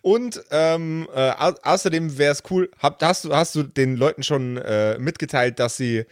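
A man's voice: fairly high pitch, a little slow, dry recording, studio quality. A man co-hosting a podcast, chatting casually in a playful, informal way. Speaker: podcast co-host.

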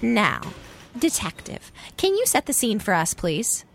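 A woman's voice: on the high side, sing-song, a little slow, creaky, a little hiss or hum, full character voice. A woman playing a clever, confident young girl detective in an old-time radio mystery.

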